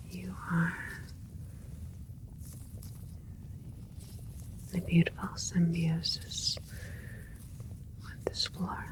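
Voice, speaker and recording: Woman as a slow whispering alien scientist. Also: fairly low pitch, poor audio. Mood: awed, admiring. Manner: slow, deliberate, soothing clinical whisper. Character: gentle, admiring alien scientist doctor tending a plant-human creation. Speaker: ASMR roleplay performer. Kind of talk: whispered ASMR roleplay.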